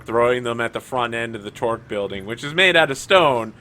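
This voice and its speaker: A man's voice: mid-range voice, lively intonation, a little fast, pushed and bright, a little hiss or hum. A man improvising casual narration as a player in a tabletop roleplaying game.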